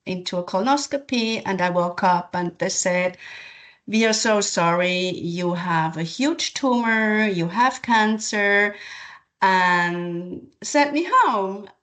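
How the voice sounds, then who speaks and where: A woman from Switzerland, a guest telling her personal story in an unhurried way, with laughs and fillers. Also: mid-range voice, fairly bright tone.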